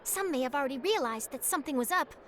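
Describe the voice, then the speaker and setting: A woman's voice: high-pitched, animated, fairly bright tone. A woman voicing a curious young female journalist in a game, chatty and persuasive but principled. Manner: chatty, coaxing, quick to explain herself. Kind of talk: game voice acting. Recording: game dialogue over music.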